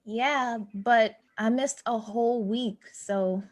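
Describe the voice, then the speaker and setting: Woman acting anxious young female worker, plainspoken, fairly high voice, everyday stage drama dialogue. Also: fairly even intonation, takes it slowly. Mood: worried, resigned. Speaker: actor in a staged play reading. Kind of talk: naturalistic stage dialogue reading.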